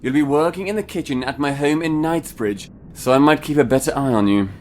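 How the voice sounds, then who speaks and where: An actor playing a pompous, possessive upper-class judge in a period drama, formal and condescending. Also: male voice, fairly high pitch, brisk, a little mellow, a little hiss or hum, natural voice. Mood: cold, insistent. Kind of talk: theatrical period audio drama acting.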